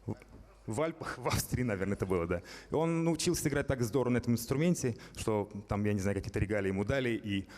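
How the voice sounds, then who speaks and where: A man with a low voice talks fast on a concert stage, rambling with frequent hesitations while he hands out gifts. His microphone rings with long hall reverb. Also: expressive, darkish tone. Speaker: festival organizer presenting gifts. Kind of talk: stage speech.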